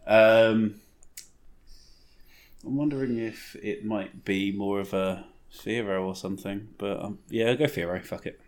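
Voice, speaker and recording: A man's voice: fairly low pitch, fairly even intonation, a little slow. A man on a quiz panel in casual banter, sweary and argumentative in jest.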